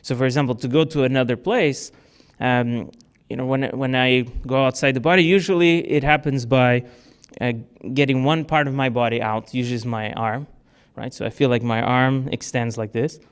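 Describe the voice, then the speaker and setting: Man, from Portugal, smooth clear voice, casual talk, halting with fillers, audience questions. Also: mid-range voice, steady tone. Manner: casual, many fillers and restarts. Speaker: speaker. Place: club meeting room.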